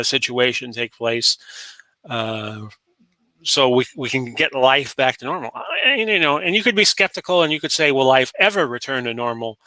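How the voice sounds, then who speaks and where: A man in his late forties from Florida hosts a solo talk show, rambling casually with plenty of fillers and false starts. His voice has a bright, forceful edge and sits at an ordinary pitch. The sound is dry and close, with almost no room around it. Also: no echo. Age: 40s. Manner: rambling, frequent fillers and restarts. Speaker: podcast host.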